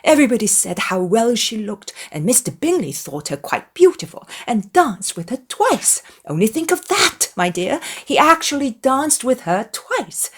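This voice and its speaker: A woman voicing an excitable, gossipy middle-aged mother in a dramatized audiobook, chattering emphatically in run-on bursts. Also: mid-range voice, slightly rough, voice pushed up. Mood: gushing delight.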